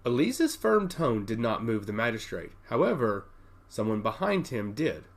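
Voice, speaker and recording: Animated male fantasy narrator. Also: mid-range voice, moderate pace. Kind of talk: scripted fantasy audiobook narration.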